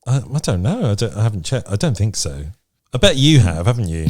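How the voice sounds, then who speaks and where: A man's voice: lowish voice, big pitch swings, a little fast, a little mellow. A man co-hosting a podcast, chatting casually and frankly in a matter-of-fact way. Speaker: podcast co-host. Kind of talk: casual chat. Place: remote podcast recording.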